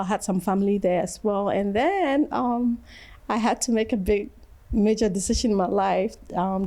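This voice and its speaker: A middle-aged woman tells her own story as an interview guest. She talks in a chatty, relaxed way and breaks into laughter between phrases. Her voice sits at a middle pitch. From Ghana. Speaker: fashion designer guest. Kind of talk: interview answers.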